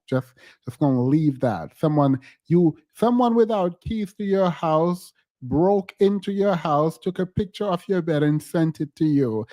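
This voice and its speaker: A man's voice: a little high, mellow, no echo. A man whose first language is not English hosts a livestream, talking in a playful, teasing way and drawing out emphatic words. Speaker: livestream host. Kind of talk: casual livestream chat.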